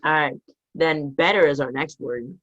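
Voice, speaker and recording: Higher-pitched male co-host, dull recording. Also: moderate pace, fairly bright tone. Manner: brief, matter-of-fact prompts. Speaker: co-host reading vocabulary words. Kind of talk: casual educational co-hosting. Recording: dull, muffled sound.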